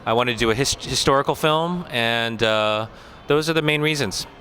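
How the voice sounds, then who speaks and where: Bright-voiced American man, relaxed film director. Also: mid-range voice, normal speed. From United States. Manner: relaxed, thoughtful, many fillers. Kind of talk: festival interview.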